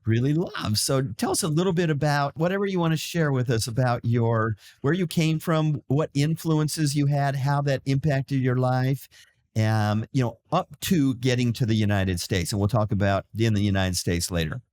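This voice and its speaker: A man's voice: mid-range voice, normal speed, darkish tone. Eager podcast host guy, kinda rambly, asks long loose questions. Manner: enthusiastic, rambling, friendly. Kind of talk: podcast interview.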